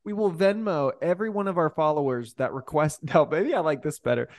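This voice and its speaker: A man's voice: fairly high pitch, fairly quick, dry recording, studio quality. A man co-hosting a podcast, chatting casually and jokingly. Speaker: podcast host.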